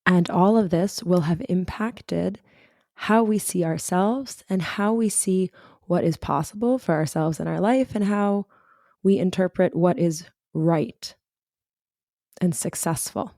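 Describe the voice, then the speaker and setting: A woman host, a little hoarse from recovering her voice, talking reflectively and personally to listeners as a career coach. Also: lowish voice, slow, mellow, no room sound, clean studio sound. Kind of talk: solo podcast talk.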